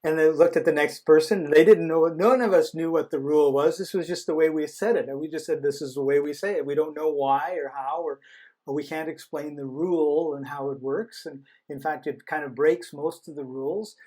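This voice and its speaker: A man with a slightly higher voice speaks as a guest in a relaxed conversation. He tells his anecdotes with animation. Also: a little fast. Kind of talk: casual conversation, personal anecdote.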